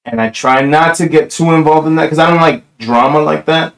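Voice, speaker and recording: A man's voice: a little high, fairly quick, fairly bright tone. A young man from New Jersey on a podcast, speaking in a thoughtful, reflective way with many "you know"s. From New Jersey, USA. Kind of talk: casual chat.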